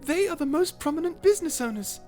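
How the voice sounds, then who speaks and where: Tiny cute mouse, very high-pitched, eager, chirpy, excitable, ASMR roleplay, music behind. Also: fast. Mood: eager, earnest. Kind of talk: ASMR roleplay character acting.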